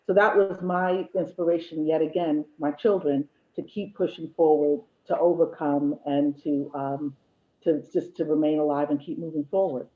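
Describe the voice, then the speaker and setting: A woman's voice: sits low, fairly even intonation, normal speed. Woman in her fifties on a tinny line. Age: 50s. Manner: candid, jokey, reflective. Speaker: guest, host's mother. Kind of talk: casual chat.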